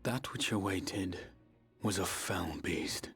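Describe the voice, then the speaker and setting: A solemn male storyteller of an apocalyptic game epic. The delivery is grave and portentous, deep in pitch and very unhurried, with soft music beneath. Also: slightly rough, exaggerated voice. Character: solemn male narrator of a doom-laden epic tale. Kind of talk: scripted dramatic game narration.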